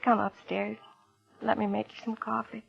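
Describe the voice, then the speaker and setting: A woman's voice: medium pitch, flat delivery, moderate pace, creaky, husky. An actress playing a bitter, world-weary young woman in an old radio drama, wry and vulnerable. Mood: pleading, wistful. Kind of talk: 1950s radio drama acting. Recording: dull old radio recording, music underneath.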